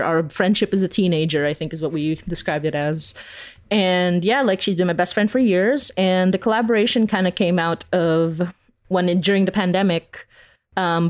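A young woman talks casually as a guest in an interview. Her voice sits a little on the low side. She is chatty and giggly, and tends to act out imagined remarks as she explains. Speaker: podcast creator and interview guest.

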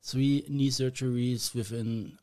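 A man from Germany with a German accent, a podcast guest talking in a halting, self-deprecating way with small grammar slips. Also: medium pitch, fairly even intonation, dark tone. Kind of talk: casual podcast chat.

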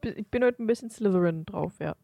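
A woman's voice: mid-range voice, fast talker, vocal fry, dark tone, dry recording, studio quality. A woman bantering casually as a podcast co-host in a blunt, teasing way.

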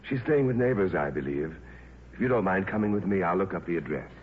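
Businesslike official, lively, tinny radio. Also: male voice, deep voice, quick pace. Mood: businesslike, polite. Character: businesslike, exasperated male aviation official, deferential to his chief. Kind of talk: 1950s radio drama acting. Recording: tinny, noisy 1950s radio broadcast.

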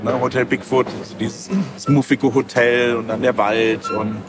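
A man's voice: mid-range voice, little pitch movement, normal speed, music in the background. A man being interviewed as a comic creator, talking casually and thinking aloud in loose, rambling chains of clauses.

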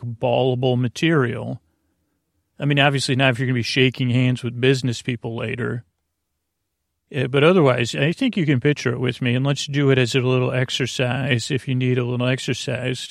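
A man with a gravelly, fry-laden voice hosts a bedtime podcast. He talks in a halting, digressive way, trailing off and wandering between tangents. The sound is dry, with no room around the voice. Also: mid-range voice, no echo. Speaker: sleep podcast host. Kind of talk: rambling, meandering bedtime monologue.

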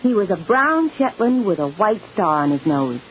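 A woman's voice: medium pitch, sing-song, moderate pace, lightly acted. An actress as a young woman posing as somebody's loving daughter, sweet and evasive. The delivery is theatrical 1940s radio acting, on a dull, noisy old recording. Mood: sweet, fond.

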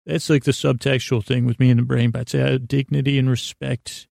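Creaky lulling guy doing a silly rambly sleep podcast, keeps interrupting himself, real dry close sound. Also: mid-range voice, little pitch movement, darkish tone, no room sound. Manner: meandering, halting, self-interrupting. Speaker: host of a bedtime sleep podcast. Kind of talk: rambling, silly bedtime monologue.

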